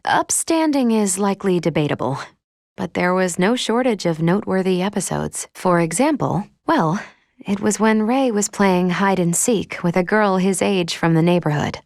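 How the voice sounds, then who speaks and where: An actress playing a polite, composed female android from a video game, gently caring like an elder sister, with formal, measured, precise phrasing. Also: on the low side, dry recording, clean studio sound. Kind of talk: video game character acting.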